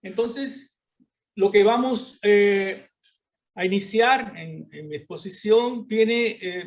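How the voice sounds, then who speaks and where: A high-pitched man speaks slowly in Spanish colored by Portuguese, pausing often with filler sounds. He delivers an academic lecture over a video call, measured and unhurried. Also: a little mellow. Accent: Portuguese-influenced Spanish. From Brazil. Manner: halting, many filler sounds.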